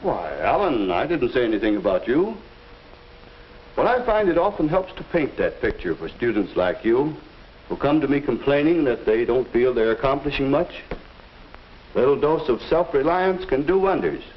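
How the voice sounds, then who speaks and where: An actor playing a kindly, patient teacher with a measured, explanatory way of talking in a 1950s instructional film. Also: male voice, medium pitch, big pitch swings, lightly acted. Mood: earnest, patient. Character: kindly, patient male teacher advising a student. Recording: muffled old film soundtrack, faint noise.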